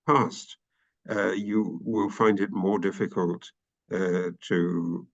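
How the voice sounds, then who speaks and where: Creaky, deep British man, halting. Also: muffled recording. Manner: hesitant, many restarts and fillers. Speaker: guest expert. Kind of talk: podcast interview.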